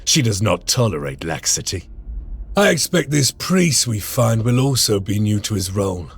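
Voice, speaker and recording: Low gravelly space marine sergeant, brooding, music under it. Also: male voice, big pitch swings, normal speed, full character voice. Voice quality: gravelly, husky. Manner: brooding, stern commands. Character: grim veteran male space marine sergeant. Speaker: sergeant narrator. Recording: game cutscene with music.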